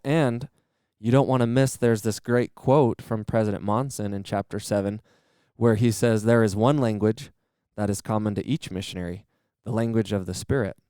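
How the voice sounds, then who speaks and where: A man with a low, mellow, smooth voice explains things earnestly and with steady enthusiasm. He is close to the mic in a dry studio. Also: dry recording. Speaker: missionary training center manager, podcast guest.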